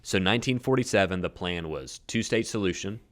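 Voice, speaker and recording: A man's voice: sits low, a little fast, a bit bright. A man co-hosting a church podcast, summing up history and thinking aloud with many restarts. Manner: thinks aloud with restarts, sums up and checks facts. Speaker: podcast co-host. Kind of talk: casual history discussion.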